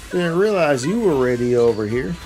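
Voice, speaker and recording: A man's voice: on the high side, big pitch swings, moderate pace, darkish tone, poor audio. A man livestreaming casual gameplay commentary in a slangy, dude-heavy way. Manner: slangy, addresses game and viewers as dude and boy. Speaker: game livestreamer. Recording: game music behind voice. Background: game music.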